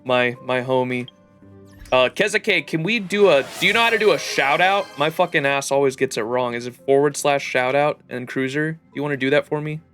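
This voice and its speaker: A man with an average-pitched voice hosts a casual game livestream. His talk is slangy, jokey and full of swearing. Game music plays behind him. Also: brisk, fairly bright tone.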